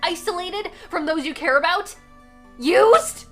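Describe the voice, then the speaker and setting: A woman's voice: extremely high pitch, a little slow, faint music behind. A woman in a fan dub voicing a guarded, defiant young alien girl with casual, sarcastic phrasing. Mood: wounded, challenging. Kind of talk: fan-dub voice acting of comic dialogue.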